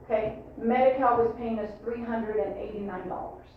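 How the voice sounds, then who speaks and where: A woman giving a spoken report at a board meeting as a staff member, with halting, hesitant phrasing. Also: fairly high pitch, fairly even intonation. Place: meeting room, distant microphone. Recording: dull echoey far-off room, faint noise.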